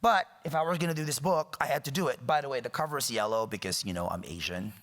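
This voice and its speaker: Thirties man from the Philippines as a guest speaker, wry and emphatic, bright voice carrying through a reverberant hall. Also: medium pitch, fast talker. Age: 30s. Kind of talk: public talk. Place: lecture hall.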